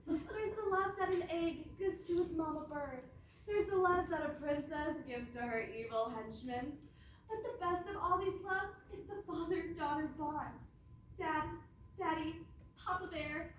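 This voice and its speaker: A woman playing a scheming eldest princess who flatters in a syrupy, declamatory way in a comic stage play. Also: very high-pitched, slight background noise. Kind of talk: comic stage readers theatre. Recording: very echoey hall, far from mic.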